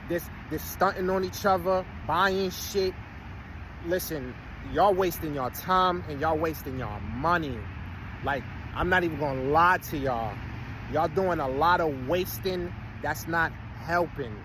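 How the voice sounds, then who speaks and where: A man's voice: fairly high pitch, a little slow. A man about to turn forty, talking casually to his followers in a rambling, streetwise way with preachy asides. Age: 30s. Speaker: vlogger. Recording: outdoor street noise, vehicles passing.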